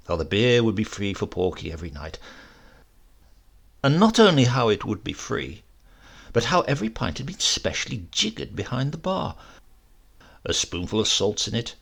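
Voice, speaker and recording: A male audiobook reader narrates a comic tale with wide, lively swings of pitch. His voice is husky with some gravel, and he relishes each phrase dramatically. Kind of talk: expressive comic short story narration.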